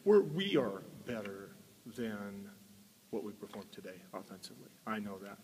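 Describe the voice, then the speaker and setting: A man in his forties, a college football head coach, giving a halting postgame interview indoors and repeating his words often. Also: fairly low pitch, very animated, darkish tone. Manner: halting, repeats words, many filler sounds. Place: indoor room before a sponsor backdrop. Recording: long room reverb with faint hiss.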